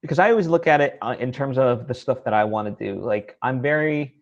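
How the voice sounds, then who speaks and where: A man hosting a casual interview, talking haltingly as he thinks aloud, peppering his speech with filler phrases. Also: medium pitch, expressive, lo-fi sound. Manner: halting, thinking aloud, heavy use of "like" and "you know". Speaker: interview host, independent filmmaker. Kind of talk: casual chat.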